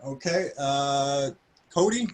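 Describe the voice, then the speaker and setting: Man, game host, brief hesitant prompts, lively pitch, unhurried. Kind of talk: calling on players in turn.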